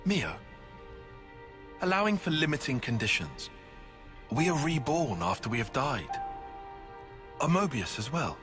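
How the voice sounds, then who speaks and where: An actor playing a cautious, analytical soldier in a video game, with a husky voice and formal, precise wording. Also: male voice, medium pitch, sing-song, unhurried, long pauses, over music. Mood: serious, wary. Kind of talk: dramatic video game voice acting.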